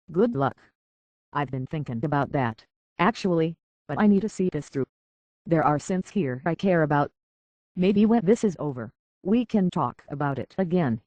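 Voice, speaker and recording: An actress playing an earnest, troubled young woman searching for answers in a video game, speaking in a halting, hushed way. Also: deep voice, no room sound, highs cut off.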